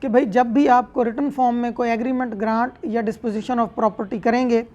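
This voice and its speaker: The recording is dull and a little muffled. A woman with a Pakistani accent lectures on law in a fairly high voice. She is didactic, restating and unpacking each point for students. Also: fairly even intonation, normal speed, a little mellow. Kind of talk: explanatory lecture, reading statute and explaining it.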